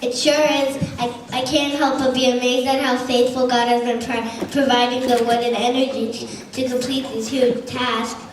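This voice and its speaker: A woman's voice: a little high, level tone, moderate pace, distant mic, natural voice. A woman playing chatty storybook characters in an amateur puppet show, recorded in a very echoey, noisy room. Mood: amazed.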